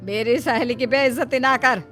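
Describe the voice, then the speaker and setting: A woman voicing a jealous, scolding older mother-in-law in a melodramatic cartoon story, with a shrill, taunting manner. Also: steady tone, normal speed, sharp tone, soft background music. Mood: scolding, disgusted.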